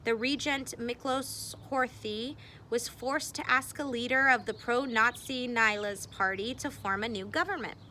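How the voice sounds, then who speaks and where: A woman reading a memoir aloud expressively, with deliberate phrasing and dramatic emphasis. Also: a little high, sharp tone, slight background noise. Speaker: reader.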